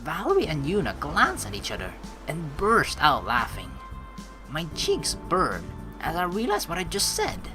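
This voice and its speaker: A woman's voice: deep voice, huge pitch swings, moderate pace, music in the background, low-quality recording. A woman doing chatty let's play commentary, reading game lines aloud expressively. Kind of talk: casual gameplay commentary and script reading.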